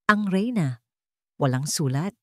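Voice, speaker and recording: Low-voiced Filipino woman narrating, dramatically expressive. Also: unhurried, no room sound, studio quality. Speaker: story narrator. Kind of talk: dramatic scripted audiobook-style narration.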